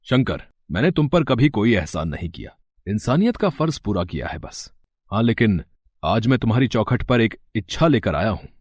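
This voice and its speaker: A man playing a kind, wealthy middle-aged village landlord, measured, earnest and reassuring, in a moral story drama. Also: fairly low pitch, big pitch swings, a little mellow, husky, dry recording, clean studio sound.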